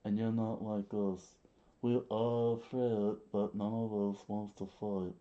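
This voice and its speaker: A man doing a stern, low rebel leader. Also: flat delivery, slow pace. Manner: firm, dismissive. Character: stern young rebel leader, hard and weary. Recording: dull lo-fi recording.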